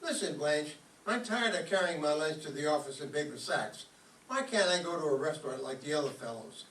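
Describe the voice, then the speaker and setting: A man's voice: fairly high pitch, expressive, fairly quick, fairly bright tone. A man playing a sleepy, sarcastic, grumbling husband in an old-time radio comedy staged live. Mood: cranky, sarcastic. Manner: grumbling, indignant. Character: sleepy, cranky, sarcastic penny-pinching husband. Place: live stage performance before an audience. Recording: roomy, distant mic, faint noise.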